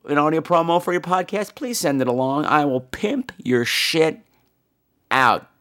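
A man's voice: on the high side, measured pace. An American man hosting a podcast in a casual, rambling, bawdy comic style, campy and a bit phlegmy from a cold. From United States. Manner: campy, bawdy, animated delivery. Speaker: podcast host.

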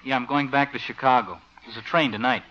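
An American actor playing a lazy, sheepish young freeloading cousin, earnest and self-pitying, in 1950s radio comedy style. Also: male voice, medium pitch, a little fast, bright, forceful tone, close to an everyday voice. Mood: subdued. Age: young adult. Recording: dull old-time radio recording.

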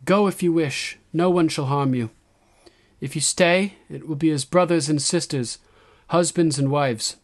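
A man's voice: fairly high pitch, a little slow, pitched above the performer's own voice. A resolute young queen, acted out by a male audiobook reader, speaks in a raised, projected voice. She is regal and solemn, declaiming to her followers with firm command. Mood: resolute, proclaiming. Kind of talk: audiobook character acting.

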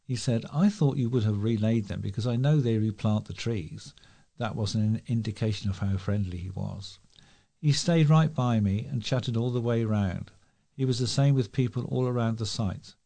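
A man's voice: medium pitch, normal speed, gravelly, dark tone. A man volunteer reading a local news feature aloud. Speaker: volunteer newspaper reader.

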